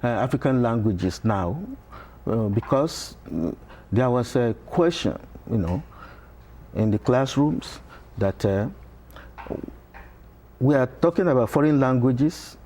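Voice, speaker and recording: A middle-aged Nigerian man, a Yoruba-speaking professor, explaining patiently in a TV interview with frequent pauses. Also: mid-range voice, expressive, warm tone, slight background noise, rough recording. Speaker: professor, guest. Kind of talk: interview conversation. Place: television studio.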